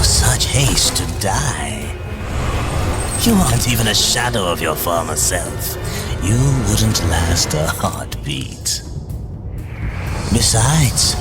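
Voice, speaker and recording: A man voicing a sly, mocking demon merchant greedy for souls in a theatrical video game cutscene. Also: low-pitched. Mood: sly mockery. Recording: loud music behind the voice.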